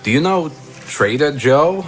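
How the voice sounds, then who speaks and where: A man's voice: a little high, animated, unhurried, faint music behind, poor audio. A man playing a wisecracking toy who drops teasing remarks in an improvised comedy.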